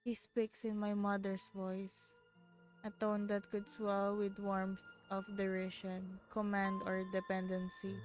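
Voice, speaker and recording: A woman's voice: medium pitch, completely flat delivery, unhurried. A woman with a Filipino accent, streaming a game and reading its text aloud. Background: game music.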